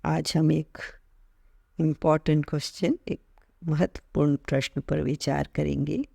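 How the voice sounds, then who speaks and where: A man leading a guided meditation as an instructor, speaking calmly in short measured phrases with gentle pauses. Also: on the high side, gravelly, no room sound. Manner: mixes English words into Hindi, repeating terms in both.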